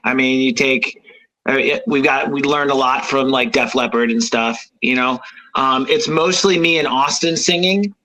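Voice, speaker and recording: A man who fronts a rock band, chatting casually on a radio show with a high voice, rambling with fillers and easy laughs. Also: normal speed, bright, forceful tone, rough recording. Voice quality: unusually high voice. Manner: rambling, many fillers and restarts, laughs easily.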